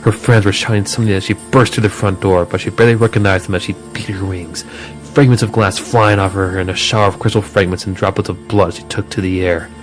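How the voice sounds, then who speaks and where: A man with a low voice narrates a scripted horror story. He tells it dramatically, as the narrator of an audio drama. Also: steady tone, fast talker, soft background music, low-quality recording.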